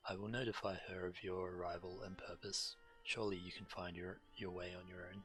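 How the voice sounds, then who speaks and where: A man's voice: low-pitched, little pitch movement, fairly quick, fairly bright tone. A man streaming a game and reading quest text aloud. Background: game music.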